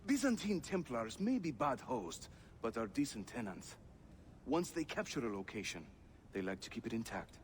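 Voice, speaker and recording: A man's voice: mid-range voice, big pitch swings, moderate pace, slight background noise, close to an everyday voice. A jovial assassin fighter voiced by a man speaks in a mid-range voice with a Turkish accent. He is affable and playful, in the manner of video game drama, with faint ambience behind him. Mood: playful, teasing.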